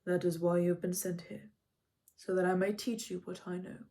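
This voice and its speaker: A woman reading as a wise old centaur, stern yet patient, speaking in an even, factual, unhurried tone in a storybook telling. The voice sits a little lower than the reader's own and takes on an altered, grainier colour. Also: close to an everyday voice. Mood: measured. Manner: neutral, calm, factual. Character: calm stern ancient male centaur teacher.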